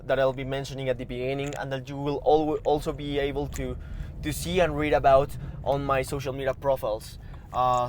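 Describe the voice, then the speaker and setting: A man from Mexico with a Mexican Spanish accent, a non-native English speaker hosting a motivational podcast, earnest and urging, often repeating and restarting words. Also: medium pitch. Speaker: podcast host. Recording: background street noise with passing cars.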